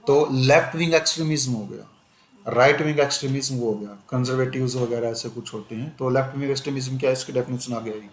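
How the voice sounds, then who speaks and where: A male teacher giving a conversational exam-prep lecture, switching between Hindi and English and repeating "okay" as a filler. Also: mid-range voice. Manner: conversational, repeats "ठीक है" often, switches into slow English phrases. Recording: echoey room with faint ambient noise.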